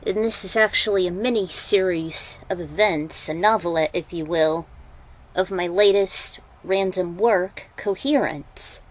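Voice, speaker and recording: A woman's voice: fairly low pitch, measured pace, poor audio. Woman introducing and reading her own fan fiction. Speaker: podfic writer and reader. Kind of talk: casual introduction, then story narration.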